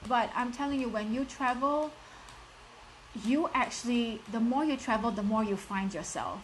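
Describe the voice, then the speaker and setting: A woman's voice: on the high side, moderate pace, a little hiss or hum, rough recording. A woman in her thirties with a strong Singaporean accent, a life coach giving a live motivational talk and speaking straight to her viewers, full of "like" and "you know". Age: 30s. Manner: direct and encouraging, addresses the audience, many "like" and "you know" fillers.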